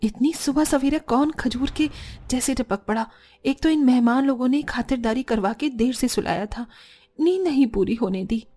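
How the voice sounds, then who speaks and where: A woman's voice: sits high, moderate pace, dark tone. A woman voicing a dutiful young bride who is polite to elders and grumbles to herself, in a cartoon family story. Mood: grumbling. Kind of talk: cartoon family drama acting.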